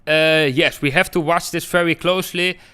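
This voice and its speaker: A man's voice: fairly high pitch, steady tone, moderate pace, sharp tone, clear, resonant tone. A man from the Netherlands with a Dutch accent, hosting a live crypto trading stream and answering viewers in a chatty way. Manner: chatty, keeps calling viewers "guys". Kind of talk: live-stream chart talk, answering chat.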